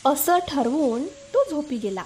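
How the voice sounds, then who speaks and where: A woman's voice: high-pitched, big pitch swings, slow pace, darkish tone. A woman hosting and narrating a scripted children's story. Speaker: storyteller, channel host.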